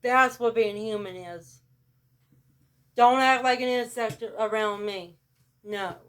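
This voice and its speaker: A woman with a rural American country accent talking to camera in a blunt monologue, keeping her delivery flat and robot-like on purpose. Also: fairly high pitch, slow pace. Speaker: vlogger. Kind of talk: casual direct-to-camera monologue.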